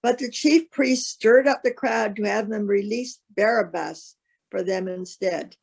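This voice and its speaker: A woman narrating a solemn Bible reading as a scripted piece, with measured, careful phrasing. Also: medium pitch, vocal fry, breathy edge.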